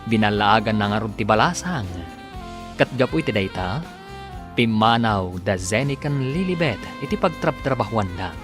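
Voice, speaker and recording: A man with an Ilocano Filipino accent delivering scripted, dramatic radio narration as announcer. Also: lowish voice.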